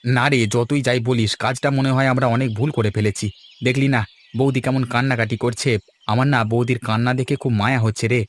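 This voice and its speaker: A man's voice: medium pitch, fairly even intonation, fast, close to an everyday voice. A man voicing an emphatic, argumentative young village lad who schemes with friends in a cartoon story. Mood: regretful.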